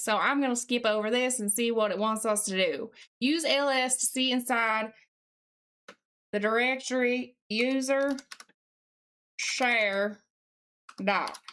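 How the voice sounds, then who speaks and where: A woman livestreamer chatting casually and reading aloud in an exaggerated, put-on deep Southern US accent, with a playful, folksy manner. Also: a bit bright. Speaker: live streamer.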